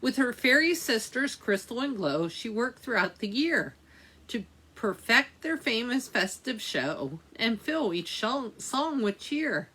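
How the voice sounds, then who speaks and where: Slow, very animated woman reading a storybook. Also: a little high, husky. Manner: dramatic, drawn-out phrasing with chatty asides to listeners. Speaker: children's storytime reader at a library. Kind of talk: expressive picture-book read-aloud for young children.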